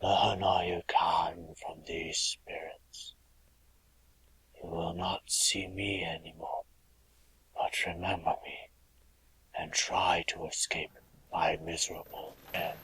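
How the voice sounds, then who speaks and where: A man playing a mournful, solemn ghost in a radio drama, speaking in halting breathy whispers. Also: low-pitched, level tone, fairly bright tone, slight background noise, low-quality recording. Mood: grave warning. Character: mournful male ghost of a dead businessman, warning his friend.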